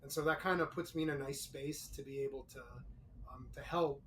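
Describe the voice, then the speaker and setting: A man's voice: fairly high pitch, steady tone, brisk, fairly bright tone. Middle-aged man, distant from the mic. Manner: explanatory, eager, some fillers. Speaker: guest, author's husband. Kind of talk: casual interview answers. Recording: distant mic, faint noise.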